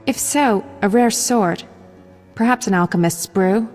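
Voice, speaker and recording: A female actor plays a brisk young medic in a fantasy game. Her mid-pitched voice is clinical, confident and matter-of-fact. It rings with long reverb over music. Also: a little mellow, lightly acted. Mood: analytical. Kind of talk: video game voice acting.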